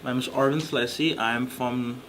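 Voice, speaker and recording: A man's voice: medium pitch, fairly even intonation, normal speed, background noise. A sixteen-year-old boy from Haiti giving brief, casual interview answers in loose phrasing. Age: teens.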